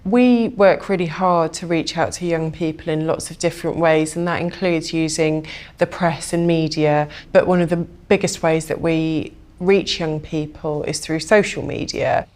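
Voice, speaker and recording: Low-voiced woman, charity spokesperson. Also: steady tone, moderate pace, a little mellow. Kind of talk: interview soundbite, informal explanation.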